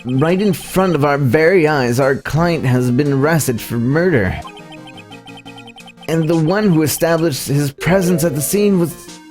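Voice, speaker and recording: A man's voice: fairly high pitch, a little slow, darkish tone, very echoey, big-room sound, faint music behind. A man streaming a game and reading its dialogue aloud dramatically. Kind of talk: live dramatic read-aloud of game text.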